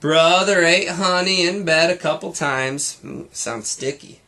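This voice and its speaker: A man reading a children's story expressively, pausing to explain and comment for young listeners. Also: on the high side, pushed and bright. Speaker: storybook reader for schoolchildren. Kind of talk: expressive children's read-aloud.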